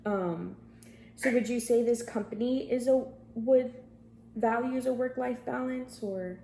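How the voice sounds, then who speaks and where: A woman's voice: fairly high pitch, a little slow, gravelly, dark tone. Hesitant woman in an echoey room. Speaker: job candidate. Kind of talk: conversational phone job interview. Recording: echoey room, faint background noise.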